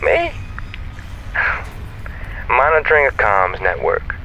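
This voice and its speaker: A man's voice: fairly low pitch, very animated, takes it slowly, sharp tone, lo-fi sound, a little hiss or hum, exaggerated voice. An actor playing a friendly, chatty, self-assured male space comms operator in a sci-fi audio drama, with a folksy, easygoing and playful manner over a radio link. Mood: casual, lonely.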